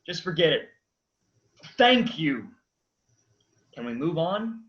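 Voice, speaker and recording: A sullen, sarcastic man back from the dead, acted by a man in a comic play reading. His voice sits fairly high and moves widely in pitch between deadpan remarks. The sound is somewhat dull. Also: takes it slowly, a little hiss or hum, heavily acted. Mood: sardonic, sullen. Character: sarcastic, reluctant man freshly raised from the dead.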